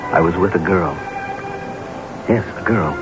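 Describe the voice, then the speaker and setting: Low-voiced, guilt-ridden husband. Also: male voice, unhurried, music in the background. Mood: guilty, confessional. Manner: stammering, repeats words, earnest. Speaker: actor in radio drama. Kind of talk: radio mystery drama acting. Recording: noisy, muffled old radio broadcast recording.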